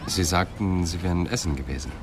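A male actor as a wry police detective with a low voice. He is dry and probing in a radio crime play, with clear noise and clicks behind him. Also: brisk, close to an everyday voice. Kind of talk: radio drama dialogue.

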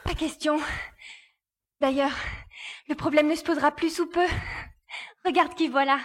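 Woman as very high-pitched young girl adventurer. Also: steady tone, normal speed, lightly acted. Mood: determined. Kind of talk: dramatised audio serial acting.

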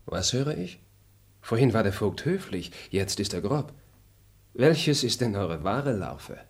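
An actor playing a composed, polite traveling gentleman who questions a suspect in measured tones in a classic radio play. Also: lowish voice, sing-song, heavily acted. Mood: puzzled. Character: composed, courteous traveling gentleman, suspicious and probing. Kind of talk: classic radio drama acting.

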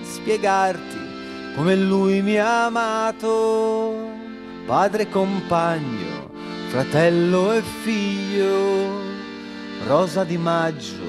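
A man performing a grieving, bereaved figure in a poetic theatrical lament, delivered in measured verse over music. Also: high voice, animated, full character voice. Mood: mournful, accusing. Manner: slow, measured, verse-like phrasing. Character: grieving bereaved person confronting a killer. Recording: prominent music under the voice. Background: live musical accompaniment.

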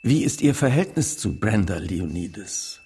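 An actor playing a matter-of-fact, persistent police inspector in a radio crime drama, questioning formally. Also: male voice, lowish voice, sing-song, moderate pace, dark tone, slightly rough, exaggerated voice. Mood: probing, formal.

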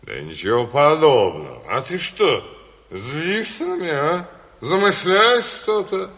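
An actor playing a brazen, boastful dock-loader turned commissar, swaggering and mocking in a Soviet radio drama. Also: male voice, a little high, sing-song, a little slow, a bit bright, full character voice. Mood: taunting. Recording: reverberant hall-like studio radio play.

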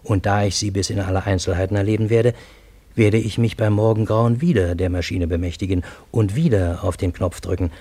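An actor narrating a radio drama as a troubled Parisian man, with a husky voice and measured, literary, dramatic delivery. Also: on the low side, darkish tone, no echo, natural voice. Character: troubled Parisian man recounting his fantastic time-loop adventure.

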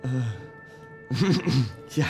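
Nervous hall official: deferential, stammering, mid-pitched, unhurried, for a radio play. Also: male voice, expressive, music in the background. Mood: flustered, eager. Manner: hesitant, stammering, throat-clearing.

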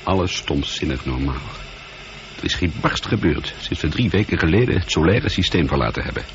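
A sardonic space pilot in his forties, with a lowish, gravelly voice that swings widely in pitch. He grumbles and teases in moody radio drama style. The recording is old, dull and noisy. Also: lively intonation, fairly quick. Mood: bored, grumbling. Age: 40s. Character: bored, irritable, teasing 42-year-old space colonel and pilot.

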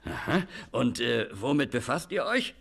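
Sly creepy guy with a secret, gravelly slow talk, swoops up and down, old kids mystery audio drama. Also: mid-range voice, very animated, a bit bright, full character voice. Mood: probing, secretive. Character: sly, mysterious man with a secret, guarding a haunted castle. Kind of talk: 1980s German children's mystery audio drama acting.

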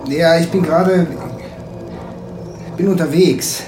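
Male detective, radio drama: high voice, touchy and evasive, colloquial delivery. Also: fairly even intonation, takes it slowly, music in the background. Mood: flustered, uneasy. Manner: defensive, casual colloquial. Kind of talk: naturalistic German radio drama acting.

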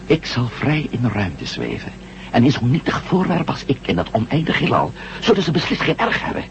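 An old radio drama recording, dull and clearly noisy. a bold, quick-witted astronaut hero. His pitch moves freely as he persuades and takes decisions. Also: huge pitch swings. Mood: determined. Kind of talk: Dutch radio drama acting.

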